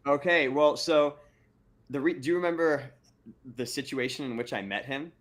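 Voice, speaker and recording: A man in a middle pitch range who hosts a relaxed interview. He rambles, restarts his words, and keeps breaking into laughter as he talks. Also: moderate pace. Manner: rambling, stammering, laughs often. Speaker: podcast host. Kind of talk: casual interview chat.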